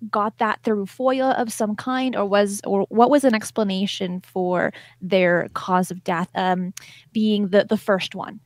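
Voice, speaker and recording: A woman in her thirties hosting an interview, asking questions in a thoughtful, halting way. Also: mid-range voice, steady tone, no echo. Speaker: host, interviewer. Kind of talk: conversational interviewing. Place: indoor room, video call.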